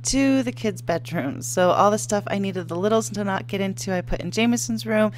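A woman in her thirties giving a relaxed, chatty voice-over narration of cleaning her home. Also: mid-range voice, brisk, a little hiss or hum. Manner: relaxed, chatty, matter-of-fact.

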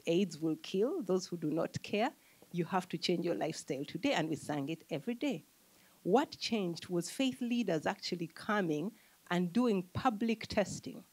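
A woman in her thirties from Uganda, speaking as an economist on a panel into a handheld mic, explaining in a measured way and listing her points. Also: on the low side, darkish tone. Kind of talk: panel discussion answer. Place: conference room with audience.

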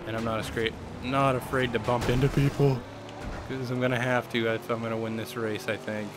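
A man's voice: mid-range voice, little pitch movement, a little fast. A young man with a scratchy throat from a cold, chatting casually with lots of fillers while he plays a racing game. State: hoarse from a cold. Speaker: gaming YouTuber. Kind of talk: casual let's play commentary. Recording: voice over loud game car noise.

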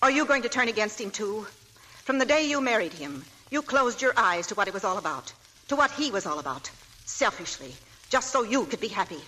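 A woman's voice: mid-range voice, big pitch swings, brisk, pushed and bright, rough recording. An actress playing a stern, possessive older mother in a 1940s radio drama, speaking with intense, accusing force.

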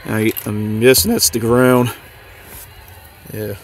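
A man's voice: fairly low pitch, flat delivery, slow, heavy background noise. A man giving a casual, off-the-cuff show-and-tell, hesitant and thinking aloud, recorded on a handheld camera in and around a parked car. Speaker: vlogger. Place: in a parked car and just outside it.

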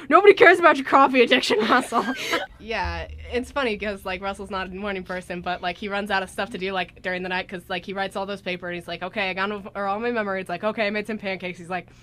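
A woman hosting a casual chat, retelling things in a giggly, animated way with quoted asides. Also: medium pitch, a little hiss or hum. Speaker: radio show host and scriptwriter.

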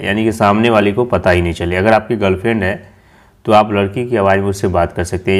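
A man narrating a casual app tutorial as host, explaining step by step and repeating himself. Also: lowish voice.

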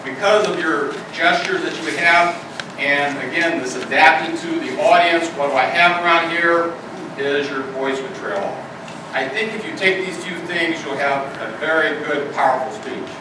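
A man's voice: on the high side, normal speed. An older man giving a plain-spoken, conversational evaluation of a contestant's speech to an audience. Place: meeting hall with an audience. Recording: echoey hall, far from mic, noisy.

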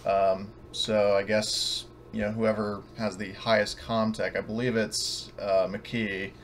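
A man casually explaining a tabletop game scenario as game master, in a halting, rambling way. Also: fairly low pitch, level tone, slight background noise. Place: online voice call.

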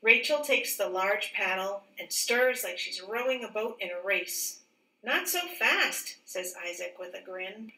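Bright-voiced woman reading slowly in echoey room. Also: mid-range voice, expressive. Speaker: teacher reading a picture book aloud. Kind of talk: read-aloud storybook narration. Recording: roomy echo, distant mic.

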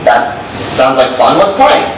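A young man acting an eager, awkward suitor in a live stage comedy, halting and earnest. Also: mid-range voice, very animated. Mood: hopeful. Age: young adult. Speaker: stage actor in a one-act comedy. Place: indoor theatre stage. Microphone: distant camera. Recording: very echoey, noisy theatre stage from far off.

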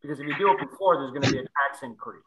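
A man on a video call weighing budget timing out loud, hesitant and full of fillers. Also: medium pitch, very fast, a crowd in the background, poor audio. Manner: hesitant, musing, many fillers. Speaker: committee member. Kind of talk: meeting discussion.

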